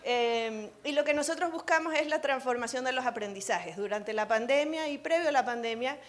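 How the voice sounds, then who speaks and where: A high-pitched woman with an Ecuadorian accent softened by time in Chile. She is middle-aged and speaks at a conference, drawing the room in with questions in a relaxed, conversational way. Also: a little fast. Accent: Ecuadorian with a Chilean tinge. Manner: engages the audience with questions, conversational. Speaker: session host and speaker. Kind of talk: conference masterclass talk.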